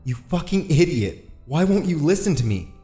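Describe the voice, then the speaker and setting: A man's voice: mid-range voice, big pitch swings, moderate pace, faint music behind, lightly acted. A man voicing an injured, trapped mechanic in an audiobook, desperate, foul-mouthed and strained. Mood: frantic, furious. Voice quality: croaking, strained. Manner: shouting, pleading, exasperated. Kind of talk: dramatised audiobook character.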